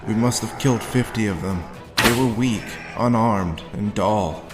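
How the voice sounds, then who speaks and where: A low, brooding male drifter acted by a man, haunted and sardonic, telling a dark horror story in the first person. Faint music runs behind the voice. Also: a little slow, lightly acted. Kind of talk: first-person dramatic narration with dialogue. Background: background music.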